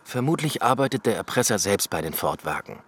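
A man's voice: on the low side, very animated, a little fast, a bit bright, breathy edge, heavily acted. An actor playing a blunt, stubborn male police detective who speaks tersely and presses people hard in a radio play. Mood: impatient.

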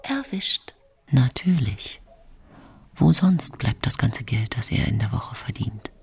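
An actress playing a sly wife in a historical radio crime drama, in an intimate, breathy inner voice that often drops to a whisper. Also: female voice, rumbling low, lively intonation, takes it slowly, darkish tone, exaggerated voice. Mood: sly, knowing. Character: scheming wife in historical crime drama, secretly longing for freedom. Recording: music playing behind the voice.

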